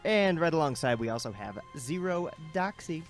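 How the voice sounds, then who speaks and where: A man's voice: fairly high pitch, big pitch swings, normal speed, soft background music. A man hosting a casual podcast with jokey, self-mocking banter. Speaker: podcast host.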